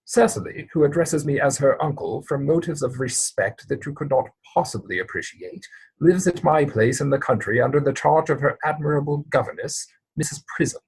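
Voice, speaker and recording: A man playing a proper, earnest young English gentleman, evasive and easily exasperated, speaking formally in a dramatic reading of a Victorian stage comedy. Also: fairly high pitch, lively intonation, normal speed, husky, full character voice, rough recording. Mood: earnest explaining. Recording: home recording over video call.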